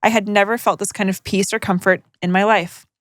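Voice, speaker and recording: A woman's voice: mid-range voice, steady tone, fairly quick, fairly bright tone, no echo. A young woman co-hosts a casual podcast, reading listener letters and breaking off for crude, joking asides. Her voice sits in a middle register and sounds close and dry. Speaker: podcast co-host.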